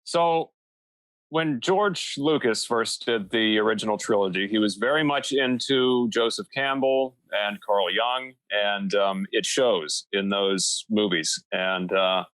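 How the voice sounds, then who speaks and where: A man's voice: fairly low pitch, slow, fairly bright tone, no room sound, poor audio. A man talking casually as a podcast guest, thinking aloud in a meandering, hesitant and modest way. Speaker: podcast guest, audio drama creator.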